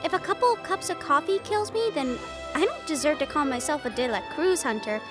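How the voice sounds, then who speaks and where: Woman acting high, lilting, affectionate young fiancée. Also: brisk, music in the background. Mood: earnest, defiant. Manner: affectionate, expressive, chatty. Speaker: audio roleplay voice performer. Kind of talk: intimate scripted audio roleplay addressed to the listener.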